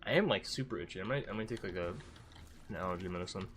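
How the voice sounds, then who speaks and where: A man's voice: low voice, quick pace, a bit bright, slight background noise. A man with a stuffy, congested voice giving rambling, self-mocking commentary as he streams a game. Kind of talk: casual gameplay commentary.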